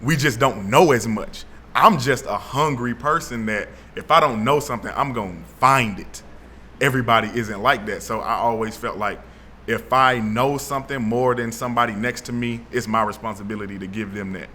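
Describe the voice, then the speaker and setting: A young man from Atlanta, telling his story as an interview guest in a relaxed way with plenty of fillers. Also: medium pitch, normal speed, slight background noise. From Atlanta, Georgia. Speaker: guest, founder of an initiative. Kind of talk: casual interview talk.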